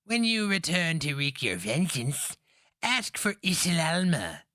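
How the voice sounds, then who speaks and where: Man putting on a husky, scornful old woman. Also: a little high, huge pitch swings, unhurried, bright, forceful tone, no room sound, studio quality, voice pushed up, exaggerated voice. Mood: sly, teasing. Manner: scolding, rueful, teasing. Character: old woman, canny, mocking, scornful matriarch.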